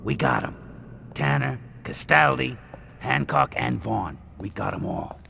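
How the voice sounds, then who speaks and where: Gravelly, forceful police superior. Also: male voice, medium pitch, measured pace, full character voice. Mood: firm, relieved. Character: stern, exasperated police superior. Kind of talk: video game voice acting. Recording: game cutscene, faint vehicle noise.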